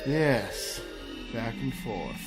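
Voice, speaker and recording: A man's voice: medium pitch, lively intonation, very slow, over music. An actor playing an anxious, gullible wealthy man in a melodramatic radio mystery. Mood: entranced, sleepy. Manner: fretful, melodramatic delivery. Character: anxious, gullible wealthy male diamond collector in therapy. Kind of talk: radio mystery drama acting.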